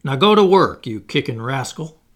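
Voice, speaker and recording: A man's voice: mid-range voice, big pitch swings, moderate pace. A man voicing a sarcastic, blustering old plantation owner who mocks and preaches in a drawl. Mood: mocking. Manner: mocking, bullying and preachy, with drawled words.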